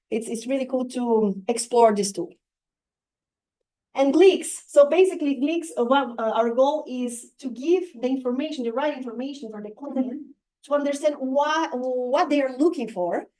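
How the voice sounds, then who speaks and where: High-voiced Brazilian woman giving a training. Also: dark tone, low-quality recording. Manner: halting, searches for words with many fillers. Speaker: real estate marketing trainer. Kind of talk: live training presentation.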